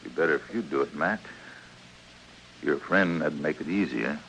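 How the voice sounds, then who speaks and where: An actor playing an accused frontier blacksmith in an old radio western, earnest and anguished, with plain rustic speech. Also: male voice, very deep voice, moderate pace, creaky, a bit bright, full character voice. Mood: downcast, pleading. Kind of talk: old-time radio western drama acting. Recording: noisy old radio drama recording.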